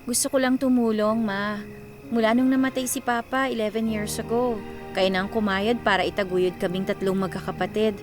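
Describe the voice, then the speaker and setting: A woman's voice: mid-range voice, steady tone, normal speed, over music, natural voice. A woman playing a dutiful, earnest, wistful young college student in a radio drama. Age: young adult.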